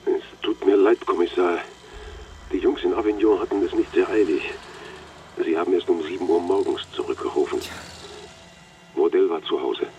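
A man's voice: sits low, normal speed, long pauses, warm tone, close to an everyday voice. An actor playing a weary, grumbling policeman in a radio drama, speaking curtly and reluctantly over the phone. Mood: apologetic. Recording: dull, faintly noisy phone line.

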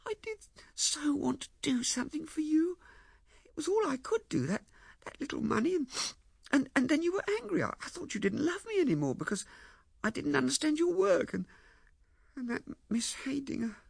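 A man voicing a timid, pleading young wife with a halting, breathy, tearful delivery in an audiobook. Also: extremely high pitch, very animated, no room sound, pitched above the performer's own voice, exaggerated voice. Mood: pleading, hurt. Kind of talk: audiobook character acting.

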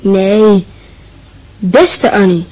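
A woman's voice: mid-range voice, dark tone, slight background noise, poor audio. A woman voicing an earnest, lovestruck young schoolboy in a radio play, spelling out a letter word by word. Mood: eager. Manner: slow, word-by-word dictating. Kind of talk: radio drama acting.

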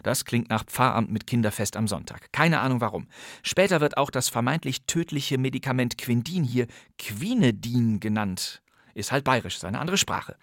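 A man's voice: medium pitch, lively intonation, moderate pace, no room sound. A man hosting a podcast, delivering scripted narration with conversational asides and dry humour. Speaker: podcast host. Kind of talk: scripted narration about old radio crime plays.

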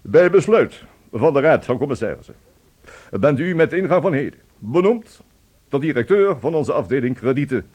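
Stuffy over-polite office official in a radio drama, husky voice, formal and pompous, hems and haws a bit. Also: male voice, mid-range voice, sing-song, normal speed, a little mellow. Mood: pompous, jovial. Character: ceremonious, fussily polite male company official. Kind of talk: radio play acting.

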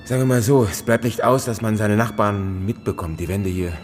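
Actor as a low-voiced, prim, evasive neighbour. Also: male voice, soft background music, natural voice. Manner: guarded, fastidious, halting. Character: reserved, prim, evasive male apartment-block neighbour. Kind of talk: naturalistic radio drama dialogue.

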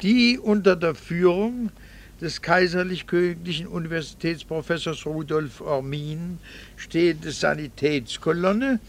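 An actor playing a middle-aged doctor and husband in a radio drama, a gravelly voice and a dry, wry, quietly resentful manner. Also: male voice, fairly high pitch. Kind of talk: 1960s radio drama acting.

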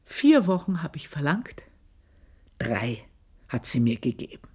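A woman's voice: sits low, big pitch swings, unhurried, darkish tone, slightly rough, full character voice. An actress playing an older housemaid who tells her own story in a radio play, speaking in an intense, confiding and scornful way. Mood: bitter confiding. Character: aging housemaid, bitter, proud, long in service.

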